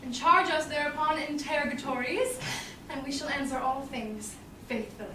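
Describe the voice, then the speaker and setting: A woman's voice: high voice, moderate pace, sharp tone. A young woman playing a witty, self-possessed noble lady in a Shakespeare staged reading, projecting from a theatre stage. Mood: poised, knowing. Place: small theatre stage with audience. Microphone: far camera in the audience. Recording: roomy, distant, noisy theatre recording.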